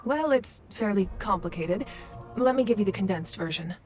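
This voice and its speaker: A woman's voice: medium pitch, lively intonation, moderate pace, darkish tone, soft background music, close to an everyday voice. A woman voicing a composed, discreet character in a game scene. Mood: confiding. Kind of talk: game voice acting.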